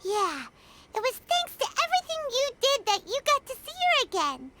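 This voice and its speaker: A woman voicing a tiny, excitable female companion sprite in video game dialogue, with squeaky, gushing exclamations. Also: normal speed, very noisy, full character voice. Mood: excited. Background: game music.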